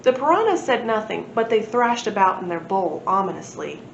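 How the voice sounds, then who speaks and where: A woman's voice: very animated, normal speed, gravelly. A woman storyteller at a library reading a children's book aloud expressively. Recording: echoey room, muffled, noisy low rumble.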